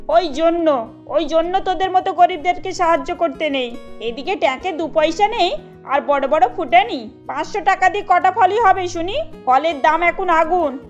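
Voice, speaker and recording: A woman's voice: very high voice, normal speed, lo-fi sound, faint music behind. A woman voicing adult women in a cartoon, speaking with emphasis. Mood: scolding. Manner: emphatic, scolding. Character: adult women: scolding employer and worried mother. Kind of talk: Bengali cartoon dubbing.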